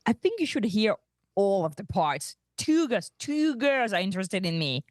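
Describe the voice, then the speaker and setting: A woman's voice: medium pitch, big pitch swings, moderate pace, darkish tone, no room sound, clean studio sound, natural voice. A woman reading the part of an eager, boastful, easily defensive young male starship officer in a comedic table read. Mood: smug.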